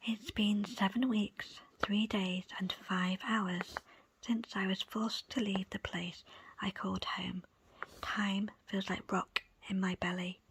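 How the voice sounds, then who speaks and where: A woman reading a book in a breathy ASMR whisper, with soft, unhurried, deliberate phrasing. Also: mid-range voice, fairly even intonation, slight background noise, poor audio. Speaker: book presenter and reader.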